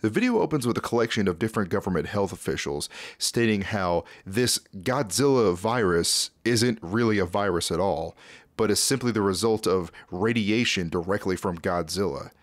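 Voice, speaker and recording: Low-voiced young man narrating, dry close sound. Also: expressive, moderate pace, no room sound. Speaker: YouTube host. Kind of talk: scripted narration recapping a horror series. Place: small dim home room, indoors. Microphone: close desk microphone.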